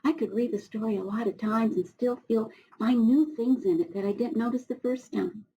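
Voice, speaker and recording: A woman, middle-aged, reading a storybook aloud to children with expressive, encouraging asides spoken directly to her young listeners. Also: a little high, normal speed, highs cut off, slight background noise. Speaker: storybook reader for schoolchildren.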